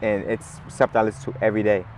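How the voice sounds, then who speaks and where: A man with a raspy, fairly low voice talks loosely, leaning on "like" and "you know". He is outdoors in a city, with faint traffic noise behind him. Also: level tone, very fast, darkish tone, poor audio. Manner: laid-back, rambling, heavy on "like" and "you know". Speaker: musician guest. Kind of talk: casual interview chat.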